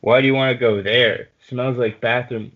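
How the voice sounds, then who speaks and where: A man reading the part of a grumpy, mocking old great-uncle from a cartoon fanfic, gruff and dismissive. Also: medium pitch, steady tone, normal speed, natural voice. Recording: muffled lo-fi mic with noise.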